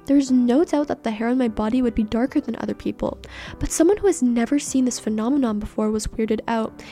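A young woman from Canada, a native Persian speaker, reading a personal essay in an earnest, measured way as a podcast host. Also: fairly high pitch, mellow.

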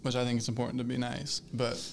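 A young man in his twenties chatting on a podcast, his voice at an everyday middle pitch with a gravelly, fry-heavy edge. He rambles, circles back, restarts his sentences, and gets flustered when teased. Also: monotone, fast talker, slight background noise. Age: 20s. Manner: rambling, hesitant, full of fillers and restarts. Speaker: podcast co-host. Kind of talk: casual chat.

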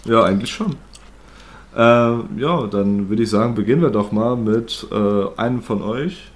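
A man's voice: fairly low pitch, unhurried. A man with a cold who hosts the podcast, chatting casually with many fillers and bursts of laughter. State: has a cold, slightly congested. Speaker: podcast host. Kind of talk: casual chat. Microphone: own home microphone setup.

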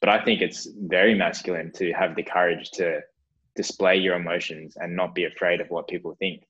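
A man co-hosting a casual podcast, thinking aloud in loose, drawn-out phrases with fillers. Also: deep voice, steady tone, a bit bright, husky. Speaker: podcast co-host. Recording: dull lo-fi sound.

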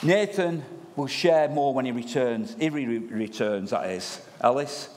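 Loud preacher in a reverberant church. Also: male voice, medium pitch, measured pace. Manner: loud, lively, chatty preaching. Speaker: pastor. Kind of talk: sermon. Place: church hall. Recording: long reverb of a church hall.

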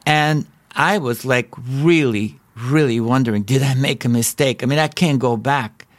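A middle-aged man from Bulgaria with a Bulgarian accent, telling his personal story as a podcast host in an earnest, halting way with frequent restarts. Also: medium pitch, dry recording. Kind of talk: personal testimony.

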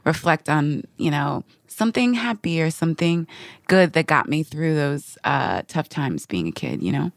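A woman's voice: low-pitched, lively intonation, normal speed, gravelly, no room sound. A woman hosting a podcast in casual, slangy chat with a friend, full of "like" and "you know" and often laughing. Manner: laughs often while talking. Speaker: podcast host.

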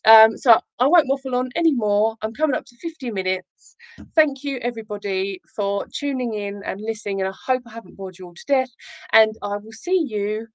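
A woman's voice: fairly high pitch, brisk, no echo. A woman hosting a casual livestream, chatting in a rambling, self-deprecating and effusive way. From Essex, England. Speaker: live show host, children's author.

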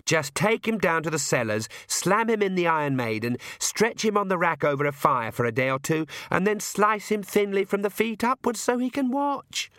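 A man's voice: high voice, expressive, normal speed, hardly any pauses, a bit bright, no room sound, studio quality, pitched above the performer's own voice. A man voicing a bratty, cruel teenage vampire daughter in a storybook, sulky and scornful. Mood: impatient, cruel.

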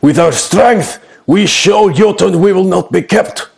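A man voicing a huge, proud goliath warrior who speaks in clipped, broken sentences and chants and booms his words. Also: animated, slow pace, vocal fry, exaggerated voice. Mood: chanting. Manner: booming, chanting, clipped grammar.

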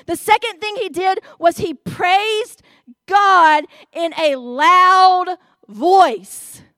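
Slow, high-voiced Southern woman preaching. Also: a bit bright, no room sound, studio quality. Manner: slow, emphatic preaching with drawn-out words. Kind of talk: sermon.

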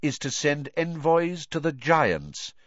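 A man voicing a calm, authoritative, powerful elderly wizard with a cool, measured manner for an audiobook. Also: mid-range voice, no room sound, highs cut off, voice pushed up. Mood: urgent, commanding.

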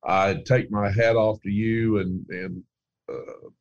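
A man with a very low voice speaks earnestly and plainly in a casual interview, often pausing on fillers. The audio is dry and slightly dull. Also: fairly even intonation, vocal fry, no room sound. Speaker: account manager.

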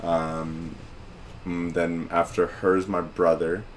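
Low-voiced laid-back young man. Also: little pitch movement, takes it slowly, slight background noise. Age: 20s. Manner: laid-back, rambling with many fillers. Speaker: podcast co-host. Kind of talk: casual chat.

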